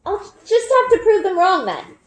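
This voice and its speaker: A woman's voice: very high-pitched, very animated, moderate pace, voice pushed up. A woman voicing an eager, stubborn young girl in a children's storybook, speaking earnestly and insistently. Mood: determined. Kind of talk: children's storybook read-aloud. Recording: roomy indoor echo.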